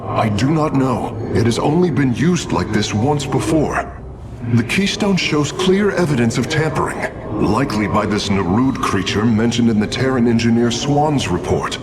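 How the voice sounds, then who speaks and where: A man's voice: mid-range voice, animated, moderate pace, background noise, lightly acted. A man voicing an earnest, cautious alien engineer in a game cutscene, with precise, formal delivery. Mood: earnest doubt. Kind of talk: dramatic scripted game cutscene acting.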